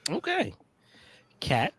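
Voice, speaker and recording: A man's voice: high-pitched, wildly swooping pitch, drawn-out words, creaky, a bit bright, husky, no echo. A man hosting a casual chat show, playful as he leads the segments. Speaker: host.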